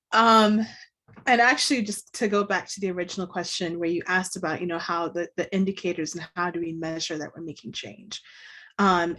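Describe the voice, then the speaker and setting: A young woman from Tanzania speaks thoughtfully on a panel. Her voice sits a little low and her manner is earnest and reflective. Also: fairly bright tone. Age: young adult. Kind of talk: panel discussion.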